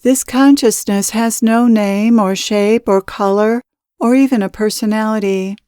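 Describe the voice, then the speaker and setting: A woman leading a guided meditation as a spiritual teacher, speaking in measured, deliberate, instructional phrases. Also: mid-range voice, fairly even intonation, warm tone, smooth, no echo, clean studio sound.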